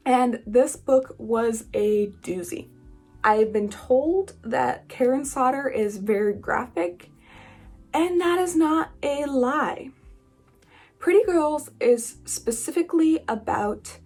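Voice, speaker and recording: A woman's voice: on the high side, a little mellow. A woman hosting a book vlog, talking in a casual, chatty style with informal, emphatic asides. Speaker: book reviewer and channel host. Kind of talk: casual chatty video monologue.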